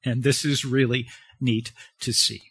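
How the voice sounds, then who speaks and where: Slow-talking preacher man, dry sound. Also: medium pitch, flat delivery, a bit bright, slightly rough, dry recording. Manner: halting, conversational preaching with fillers and pauses. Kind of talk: sermon.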